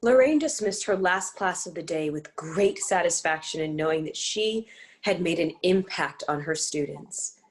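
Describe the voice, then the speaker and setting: Low-voiced woman narrator of a drama. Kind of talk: scripted dramatic narration at a table reading.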